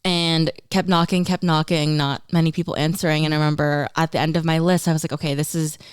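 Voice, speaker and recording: A young woman whose first language is Tagalog tells a story in a relaxed podcast chat. Her voice sits slightly low and sounds smooth and clean. The recording is close and dry. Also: fast talker, dry recording. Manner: animated, acts out quoted lines in her own voice. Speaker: podcast guest.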